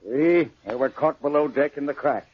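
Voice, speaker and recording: A man's voice: medium pitch, moderate pace, breathy edge, highs cut off, lightly acted. A man playing an earnest, defensive sea captain in an old-time radio drama, speaking in formal nautical phrases. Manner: formal nautical speech with "aye".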